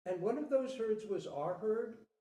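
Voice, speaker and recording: An innocent young fawn from a storybook, acted out by a man, full of wide-eyed questions and easily troubled. The voice is raised and swings in pitch, picked up from a distance in a roomy space. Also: lively intonation, a little slow, warm tone. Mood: curious, confused.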